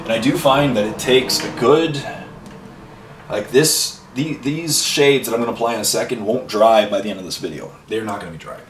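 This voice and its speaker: A man at home talks as he paints, rambling in an easy, chatty way and filling the pauses with "um" and "you know." His voice sits at a middle pitch, a little off mic, and it rings in an echoey room. A faint noise runs underneath. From Ireland and Canada. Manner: rambling and chatty, with many fillers and asides. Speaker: miniature painting host. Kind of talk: casual chat while painting. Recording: roomy echo, voice a bit far from mic, faint noise.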